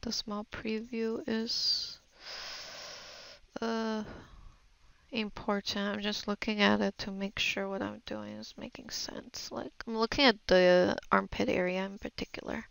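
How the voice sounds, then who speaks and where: A woman's voice: medium pitch, flat delivery, a little slow, vocal fry, a bit bright, clean tone, no echo, rough recording. A woman giving a casual, unscripted voice-over art tutorial, thinking aloud in a hesitant, murmuring way as she assesses her work. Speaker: digital art tutorial narrator.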